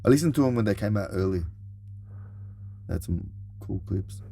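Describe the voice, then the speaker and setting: A man's voice: on the low side, lively intonation, moderate pace, vocal fry, mellow, slight background noise. A man co-hosting a podcast, chatting in a laid-back, blokey way with loose phrasing and swearing. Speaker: podcast co-host. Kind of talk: casual banter, swearing. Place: vintage caravan turned studio.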